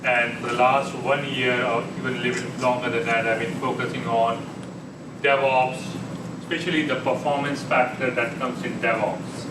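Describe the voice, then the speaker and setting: A man's voice: medium pitch, flat delivery, moderate pace. A man giving a conversational tech presentation at a meetup, often restarting his sentences. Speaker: conference speaker. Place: meeting room. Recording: echoey room, distant mic, air conditioning hum.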